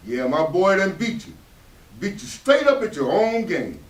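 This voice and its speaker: A man's voice: high-pitched, very animated, a little slow. A man with a Southern American accent playing an embittered, protective father in a stage reading, in emphatic folksy speech. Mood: defiant, angry. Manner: emphatic, folksy vernacular speech with dramatic swells. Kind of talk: stage readers theatre acting. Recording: echoey room, distant stage microphone.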